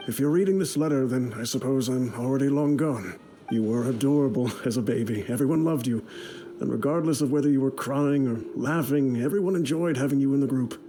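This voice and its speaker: A man's voice: medium pitch, mellow, a little hiss or hum, lightly acted. Roguish, rambling older actor as a mercenary father. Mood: fond, resigned. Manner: rambling, chuckling, jovial. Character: ailing old mercenary father, boastful, roguish, affectionate. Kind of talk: letter voice-over in a video game.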